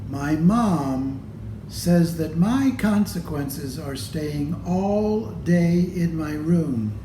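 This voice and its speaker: A man reading a children's storybook aloud in a deliberate, expressive way, addressing young listeners. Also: fairly high pitch. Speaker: storybook reader. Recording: echoey room with clear background noise.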